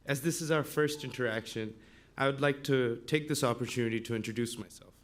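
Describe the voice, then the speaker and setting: Young man from Bangladesh, student rep, reads a measured formal address in a council chamber. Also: medium pitch, fairly bright tone. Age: 20s. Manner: formal, measured delivery of a written speech. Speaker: student representative. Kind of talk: prepared public address.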